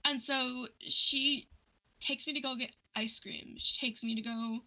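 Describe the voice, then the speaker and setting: A woman telling personal stories in casual livestream chat, animated and acting out the dialogue she quotes. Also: high voice, unhurried, low-quality recording.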